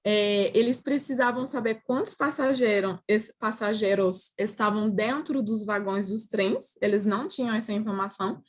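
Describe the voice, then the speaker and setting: A woman's voice: medium pitch, moderate pace, few pauses. A woman with a French accent, a native French speaker, presenting in a video-call roundtable with many hesitations and restarts. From France. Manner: hesitant, frequent filler "é" and restarts. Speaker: panelist.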